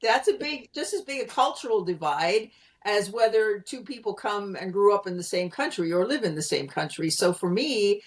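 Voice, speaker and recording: A woman's voice: medium pitch, animated, normal speed. American woman explaining on a video call. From Pennsylvania, USA. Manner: articulate, explanatory, light laughs. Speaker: guest, communication consultant. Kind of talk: conversational interview answer.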